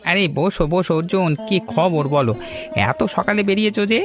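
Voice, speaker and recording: A man's voice: sits high, fairly quick, music in the background. A man playing a kindly, reassuring older tea seller in a village cartoon. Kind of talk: cartoon voice acting.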